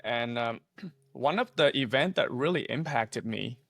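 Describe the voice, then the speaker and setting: A man's voice: mid-range voice, animated, measured pace, vocal fry, a bit bright, dry recording. A man in his thirties who grew up in Vietnam speaks English with a light second-language lilt. He talks earnestly over a webcam from a small home office, laughing often and restarting his sentences. The sound is dry and close, with little room in it. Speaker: guest, insurance agency owner. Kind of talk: casual interview storytelling.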